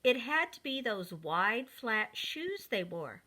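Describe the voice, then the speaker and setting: A woman reading a picture book aloud to young children in an expressive, deliberate manner, speaking directly to them. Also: a little high.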